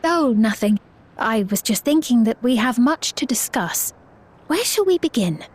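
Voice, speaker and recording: Gentle, high-voiced regal woman. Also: lively intonation. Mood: composed, reassuring. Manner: formal, measured, softly reassuring. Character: gentle, composed, regal woman leader, soothing and consoling. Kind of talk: dramatic video game cutscene acting.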